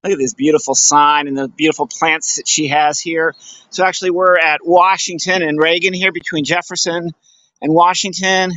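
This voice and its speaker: Guy poet doing a casual excited walkaround vid, kinda high voice, muffled dry sound. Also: normal speed, no echo. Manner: enthusiastic, effusive. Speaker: poet promoting his book. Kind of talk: casual walking video tour. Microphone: handheld camera. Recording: dull lo-fi handheld camera audio.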